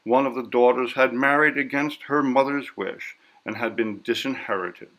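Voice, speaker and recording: A man reading a story as scripted narration in a measured, literary delivery. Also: medium pitch, steady tone, fairly bright tone, rough recording. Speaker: audiobook narrator.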